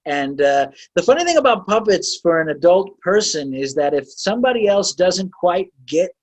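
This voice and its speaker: A man's voice: high-pitched, very animated, moderate pace. A man hosting a podcast, talking in a loose, rambling way with frequent laughs. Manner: rambling, laughs often, many fillers. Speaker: podcast host. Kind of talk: casual video-call interview.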